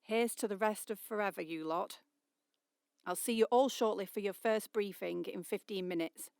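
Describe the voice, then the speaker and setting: An actress playing a stern, distrustful female captain of a deep-sea crew in a radio drama, sardonic and commanding. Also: moderate pace, gravelly, darkish tone, smooth, no echo, studio quality, lightly acted. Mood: formal.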